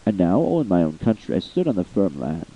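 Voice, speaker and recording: A man's voice: deep voice, very animated, a little fast, warm tone, slightly rough. Male amateur reader, theatrical verse delivery, wide-ranging intonation; muffled lo-fi sound. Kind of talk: dramatic poetry reading.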